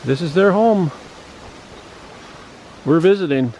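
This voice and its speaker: A man's voice: a little high, takes it slowly, rough recording. A man filming himself on a forest hike, chatting casually and chuckling as he walks by a lake. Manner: relaxed, chatty, chuckles often. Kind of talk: casual narration while walking. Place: outdoors on a windy forest trail by a lake. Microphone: handheld camera. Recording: windy outdoor camera audio. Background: wind and lake waves.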